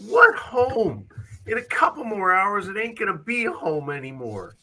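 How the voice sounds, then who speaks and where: A performer voicing an excitable, scared young boy adventurer with halting, dramatic delivery in an amateur script read. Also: medium pitch. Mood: earnest, urgent. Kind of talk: amateur livestream table read of a film script. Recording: distant from the mic.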